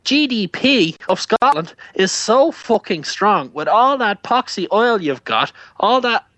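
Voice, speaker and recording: A man's voice: high voice, sing-song, a little slow, fairly bright tone, no room sound. A man with an Irish accent, ranting heatedly and full of swearing in a casual show chat. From Ireland. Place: Canada.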